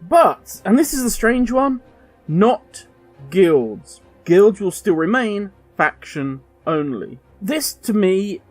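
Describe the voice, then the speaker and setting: Man, high voice, ranting commentary, dry close mic, soft music behind. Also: big pitch swings, slow pace, dry recording. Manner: emphatic, ranting, direct address. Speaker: gaming video host. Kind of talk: opinionated commentary to camera.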